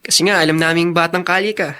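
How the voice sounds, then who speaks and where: Radio drama big brother: Filipino accent, forward bright tone, slightly high pitch, fond and open. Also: male voice, fairly even intonation, quick pace, clear, resonant tone. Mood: wistful, tender. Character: kind, protective older brother, fond and open.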